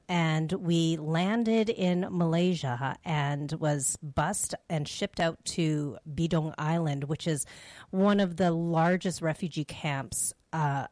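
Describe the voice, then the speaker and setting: A woman's voice: low voice, darkish tone, no echo. A middle-aged woman from Canada hosting a faith show, giving personal testimony in a conversational, reflective and earnest way. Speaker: host of a faith radio show.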